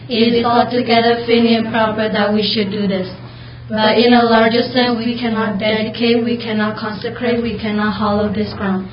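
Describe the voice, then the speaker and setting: Girl from Burma, even recital, slightly high voice, roomy lo-fi recording. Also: moderate pace, few pauses, a little hiss or hum. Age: young. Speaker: middle school student. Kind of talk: reciting a memorized speech. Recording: echoey room, dull audio.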